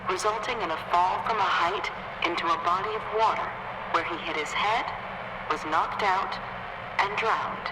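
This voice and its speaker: An actor playing a clinical coroner in a game, formally dictating an official report in a detached, measured manner on tape. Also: mid-range voice, natural voice. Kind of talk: scripted report read on tape. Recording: very noisy, echoey, distant tape playback.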